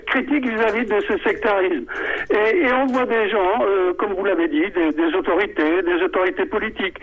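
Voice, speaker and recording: A man's voice: high voice, slightly rough. Old guy calling a radio show, lots of ums, dull muffled line. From Morocco. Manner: hesitant, many fillers and restarts. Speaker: radio caller. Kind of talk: call-in radio debate. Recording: muffled phone call on radio.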